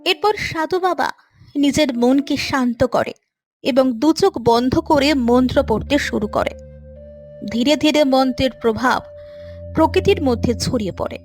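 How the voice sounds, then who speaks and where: A woman with a high voice narrates an animated moral tale. Her delivery is scripted storytelling, with faint music playing behind her. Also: unhurried.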